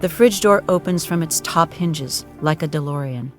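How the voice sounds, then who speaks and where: A man playing a solemn administrator who narrates absurd events in a deadpan, grandiose storytelling style. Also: a little high, normal speed, close to an everyday voice. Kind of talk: scripted-sounding storytelling narration. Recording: prominent music behind the voice.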